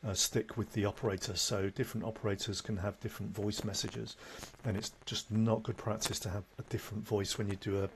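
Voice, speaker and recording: Man, lowish pitch, conversational instructor, unscripted software walkthrough, light ambient noise behind the voice. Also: flat delivery, brisk. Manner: instructional, conversational, with tag questions. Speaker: software tutorial presenter. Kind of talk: unscripted screen-recording tutorial. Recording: noticeable background noise.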